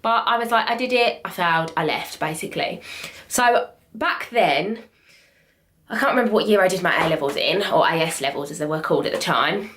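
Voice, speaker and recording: A woman's voice: on the low side, a little fast, fairly bright tone. Candid young woman vlogger. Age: 20s. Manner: chatty, candid, frequent "like". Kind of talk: candid talk to camera. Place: small bedroom indoors. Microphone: camera at desk distance.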